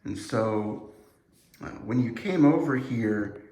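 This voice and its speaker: A man's voice: mid-range voice, lively intonation, slow pace, mellow, reverberant. A man hosting a podcast interview, asking loose, hesitant questions full of fillers. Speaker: podcast host. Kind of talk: casual interview.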